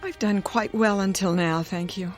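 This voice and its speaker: A woman's voice: mid-range voice, steady tone, normal speed, soft background music, close to an everyday voice. An actress playing a vain, stubborn, hard-of-hearing woman who runs a cosmetics company, imperious and theatrical, in a radio drama. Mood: proud, defensive. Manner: imperious, theatrical, mishears people.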